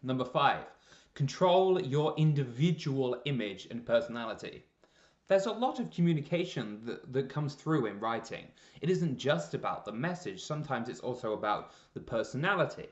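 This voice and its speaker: Man, scripted explainer narration, measured emphatic phrasing, echoey room, slightly muffled lo-fi audio. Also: mid-range voice, big pitch swings. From Canada. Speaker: presenter, freelance copywriter. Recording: roomy echo, slightly dull lo-fi sound.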